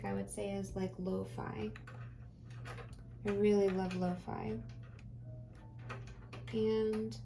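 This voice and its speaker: A woman's voice: medium pitch, vocal fry, dark tone, a little hiss or hum, poor audio. A woman hosting a craft channel, chatting casually while she works, rambling and hesitant with many fillers, her voice tired and getting dry.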